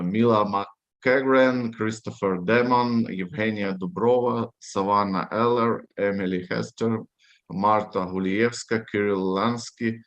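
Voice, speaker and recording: A man with a Ukrainian accent, a native Ukrainian speaker, reading and introducing poetry as a poet at a live reading. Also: lowish voice.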